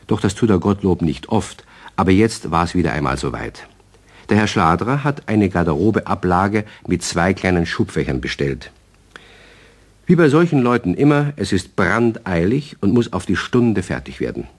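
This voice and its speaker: A man's voice: sits low, very animated, normal speed, full character voice. A man narrating a German children's radio play as a wry storyteller, with scripted phrasing.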